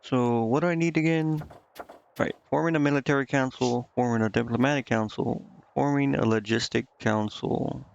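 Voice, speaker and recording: A man streaming a game, casually reading on-screen text aloud and thinking out loud in a halting, puzzled mutter. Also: mid-range voice, steady tone, vocal fry, dull audio. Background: game music.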